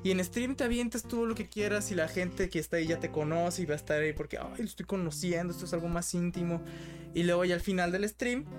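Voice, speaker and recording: A man with a Mexican accent chatting as a podcast guest, rambling and tagging his points with quick questions. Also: sits high, over music. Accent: Mexican Spanish. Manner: rambling, keeps adding tag questions. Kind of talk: casual podcast chat.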